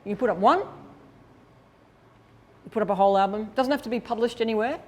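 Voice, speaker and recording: A woman's voice: on the high side, normal speed, darkish tone. A woman presenting a workshop in an informal, conversational way, explaining and thinking aloud as she demonstrates. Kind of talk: informal instructional talk to attendees.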